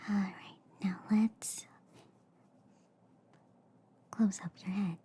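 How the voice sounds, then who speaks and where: A woman whispering softly and soothingly in an ASMR roleplay as a gentle, reassuring alien doctor, close to the microphone. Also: mid-range voice, fairly even intonation, drawn-out words. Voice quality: soft, breathy whisper.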